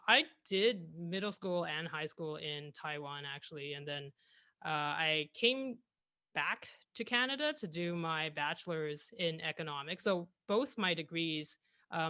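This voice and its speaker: Young woman, bright voice, dry sound, headset on a video call, chatty, self-correcting. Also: sits low, clear, resonant tone, no echo. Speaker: guest speaker. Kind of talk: presenting over a video call.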